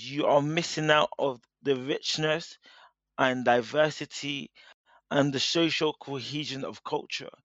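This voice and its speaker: Measured man explaining. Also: fairly high pitch, dry recording, dull audio. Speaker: guest speaker. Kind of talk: interview, explaining at length.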